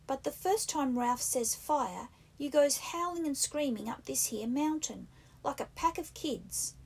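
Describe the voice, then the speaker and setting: A woman's voice: high voice, lively intonation, a little slow, darkish tone, voice pushed up, exaggerated voice. A woman doing a fussy, indignant schoolboy. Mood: scolding. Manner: indignant, breathless, nagging, with a rough country-boy grammar. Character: fussy, anxious plump schoolboy scolding his peers. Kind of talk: audiobook character reading.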